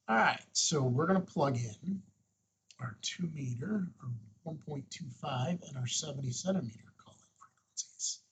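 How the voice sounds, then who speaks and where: A man hosting an unscripted, casual software walkthrough, thinking aloud and murmuring to himself as he works. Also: medium pitch, poor audio. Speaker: host of a radio tutorial.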